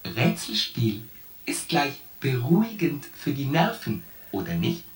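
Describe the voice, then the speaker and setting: A roomy, slightly distant voice over music a ship's artificial intelligence in a sci-fi radio play. It speaks slowly and deliberately, laying out cold logic point by pedantic point like a formal accusation. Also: medium pitch, big pitch swings. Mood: methodically accusing. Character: shipboard artificial intelligence, coldly logical, methodically accusing the commander. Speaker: radio drama actor. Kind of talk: science fiction radio play dialogue.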